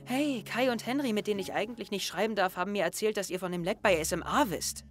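A man's voice: high voice, quick pace, a bit bright. A young man playing an anxious, brooding teenage boy in an introspective, tense audio drama monologue. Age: young adult. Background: music.

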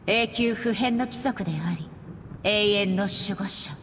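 A woman playing a solemn, resolute warrior goddess in a Japanese anime game, speaking formally in a grave, measured manner. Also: mid-range voice, a bit bright, slight background noise, lightly acted. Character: solemn, resolute warrior goddess and her stern puppet shogun.

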